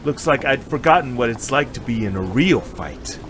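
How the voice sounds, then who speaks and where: A man playing an eager, good-natured fast-food worker and retired martial arts pupil in an amateur anime-style drama, excitable and boastful but respectful. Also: medium pitch, noisy background, lightly acted. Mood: humbled, grateful.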